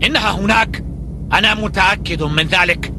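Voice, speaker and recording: A man voicing a young, vengeful enemy fleet commander in a dubbed cartoon, arrogant and rash as he shouts orders. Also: high voice, brisk, noisy background, natural voice. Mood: stubborn. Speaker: villain commander. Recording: loud background score under voice.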